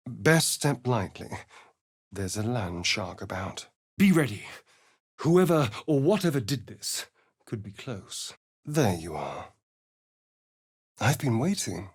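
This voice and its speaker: A man's voice: medium pitch, husky, dry recording, studio quality, exaggerated voice. An actor playing a sly, vain, sardonic male vampire rogue in a video game, with an arch, drawling, theatrical delivery. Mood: guarded. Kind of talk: theatrical video game character lines and narration.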